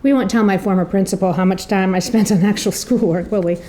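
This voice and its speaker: Woman speaker with a mellow, theatrical delivery. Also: mid-range voice, fairly even intonation, slight background noise. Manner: theatrical, rhetorical questions and pauses. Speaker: editor and marketing director introducing an author. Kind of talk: prepared introduction speech, dramatic storytelling delivery. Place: room at a public presentation.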